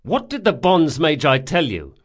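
A man narrating an audiobook, voicing a brash, sardonic thief who speaks forcefully, with menace and exasperation. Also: a little high, sing-song, moderate pace, pitched above the performer's own voice. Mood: angry, threatening. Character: brash, sardonic male thief, threatening, foul-mouthed.

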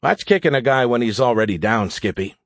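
A man's voice: medium pitch, expressive, brisk, no room sound, clean studio sound. A man playing a stubborn, sullen male military pilot in an audiobook, sarcastic and indignant but dutiful. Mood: defensive. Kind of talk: audiobook character acting.

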